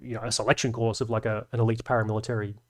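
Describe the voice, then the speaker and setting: A man's voice: lowish voice, level tone, very fast. A young man hosting a live stream, rambling and swearing casually as he reads chat and laughs along.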